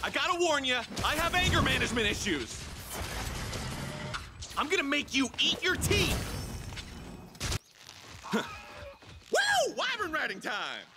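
A man voicing a cocky, excitable, goofy monster hunter in a video game, hammy and boastful. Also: very high-pitched, slow, pauses often, over music, exaggerated voice. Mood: excited, shouting. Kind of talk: video game combat voicelines. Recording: game sound effects behind voice.

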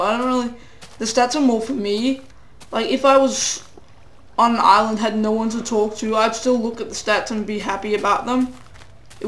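A man's voice: sits high, fairly bright tone, echoey room, rough recording. A teenage boy with a cold giving rambling, self-deprecating commentary while playing a game. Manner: rambling, self-deprecating, sing-song asides. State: a cold, voice getting hoarse. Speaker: gamer.

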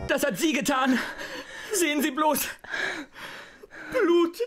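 An actor playing an excitable, high-strung man, agitated and shrill, in a German radio crime drama. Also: slow pace, music in the background. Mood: shrill panic.

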